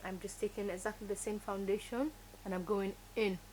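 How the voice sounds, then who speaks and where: A woman's voice: mid-range voice, little pitch movement, brisk, a little mellow, slight background noise, low-quality recording. Woman teaching makeup. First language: Yoruba. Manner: instructive, emphatic, repeats key words. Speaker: makeup tutorial host. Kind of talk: step-by-step beauty tutorial.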